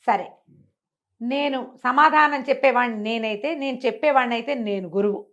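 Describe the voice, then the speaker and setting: A woman's voice: a little high, moderate pace, fairly bright tone, muffled recording. A woman storyteller. She narrates a moral fable expressively, acting out the exchanges and putting questions to her listener as she explains.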